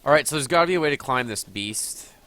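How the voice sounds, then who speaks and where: Bright-voiced man streaming a game with a cold. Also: mid-range voice, lively intonation, quick pace. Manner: chatty, thinking aloud while playing. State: sniffly with a cold. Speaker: gaming streamer. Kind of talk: live game commentary.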